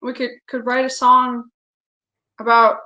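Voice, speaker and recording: A woman's voice: on the high side, level tone, unhurried, bright, forceful tone, highs cut off. A young woman singer as an interview guest, talking openly in casual chat and laughing often. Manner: open, chatty, laughs often.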